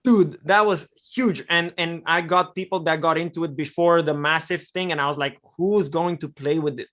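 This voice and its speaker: A young man from Romania, a podcast guest, telling his story in a relaxed way with many fillers. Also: on the high side, moderate pace. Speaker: guest, e-commerce copywriter. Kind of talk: podcast interview answers.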